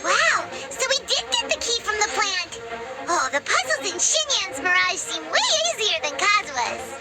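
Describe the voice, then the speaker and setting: Super high squeaky little fairy girl, talks about herself in third person, pitch jumps everywhere. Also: very animated, moderate pace. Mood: excited. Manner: squeaky, exclamatory, speaks of herself by name. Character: small floating female fairy companion, excitable. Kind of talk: video game dialogue. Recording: loud game music behind.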